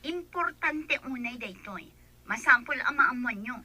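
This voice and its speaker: An actress playing a sneaky, deferential office employee in a radio drama, with an insinuating way of talking. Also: female voice, high voice, animated, a little slow, sharp tone, exaggerated voice. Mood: secretive, deferential. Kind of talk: Ilocano radio drama acting.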